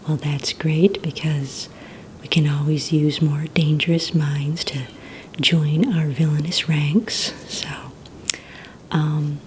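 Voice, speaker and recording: A woman whispering softly and breathily in an ASMR roleplay, playing a polite receptionist who asks questions in a gentle, hesitant manner. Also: low-pitched, a little hiss or hum. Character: courteous female villain recruitment receptionist.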